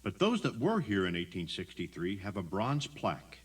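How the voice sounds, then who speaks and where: Low-voiced, animated male tour guide. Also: moderate pace, breathy edge. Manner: conversational, emphatic storytelling. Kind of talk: scripted audio tour narration.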